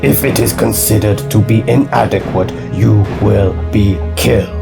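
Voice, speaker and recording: Man as a gravelly, menacing alien warlord. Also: fairly low pitch, normal speed, music in the background. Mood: cold menace. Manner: terse, commanding, threatening. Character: cold menacing alien warlord, male, clawed. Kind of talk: audio drama acting.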